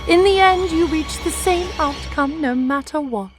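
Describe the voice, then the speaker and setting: A woman's voice: high voice, unhurried, over loud music. A woman playing a smug, merciless female villain in an audio drama, pronouncing things in a haughty, taunting way. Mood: smug philosophizing.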